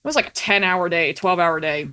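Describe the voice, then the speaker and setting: A woman's voice: on the low side, fairly quick, bright, forceful tone, clear, resonant tone. A woman podcast guest telling stories in casual, informal conversation, peppered with "like" and "you know". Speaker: podcast guest, indie producer. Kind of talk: casual chat, storytelling.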